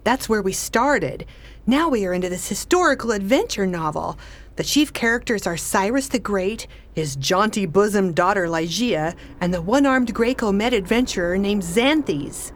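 A woman's voice: mid-range voice, animated, normal speed, a little hiss or hum, lightly acted. A woman playing a tired, earnest, exasperated wife in a radio play, passing on what her child says. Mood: matter-of-fact.

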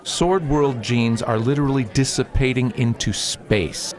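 A man playing a principled young nobleman in a radio drama, speaking formally and earnestly in a measured, argumentative manner. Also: mid-range voice, some chatter behind, lightly acted. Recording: clearly noisy outdoor ambience.

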